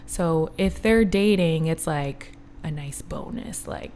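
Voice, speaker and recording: Lively thirty-year-old woman telling stories. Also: deep voice, slow pace, slight background noise. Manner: animated storyteller, acts out quoted people, laughs often. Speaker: podcast guest. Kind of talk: casual chat.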